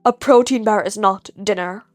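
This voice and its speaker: A woman voicing a gruff, dry, annoyed grown man, a stern hero, in a deadpan, slow manner for an audio story. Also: medium pitch, no room sound, faint music behind, pitched below the performer's own voice, natural voice.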